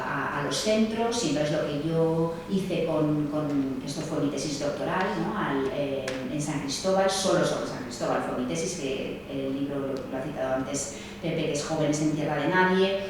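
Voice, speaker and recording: Low-voiced woman in an echoey hall. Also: moderate pace, a little hiss or hum. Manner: hesitant, many fillers and tag questions. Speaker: sociologist speaking at a seminar. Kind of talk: seminar talk. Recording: echoey distant room mic, faint ambience.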